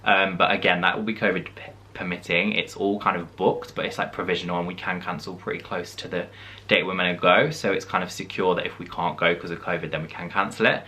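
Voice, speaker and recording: A man's voice: low voice, steady tone, fast, pushed and bright. A young man in his twenties from the English Midlands, chatting casually as he answers viewer questions in a vlog. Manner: chatty, reflective, frequent "like" and "um" fillers. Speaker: vlogger.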